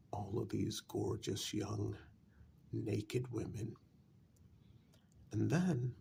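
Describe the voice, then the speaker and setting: A man playing a calm, frank vampire in a soft-spoken, halting ASMR role-play, often dropping close to a whisper. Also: on the low side, vocal fry, a little hiss or hum, full character voice. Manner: slow, deliberate, halting with long pauses.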